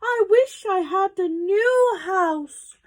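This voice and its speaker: A sad little mother mouse. The voice is squeaky and very high, with plaintive, swooping lines drawn out slowly. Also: animated, heavily acted.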